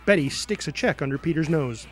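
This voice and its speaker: A man's voice: on the high side, animated, brisk, soft background music. A man narrating a screenplay, reading out scene headings and action as a scripted narrator. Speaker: narrator reading stage directions.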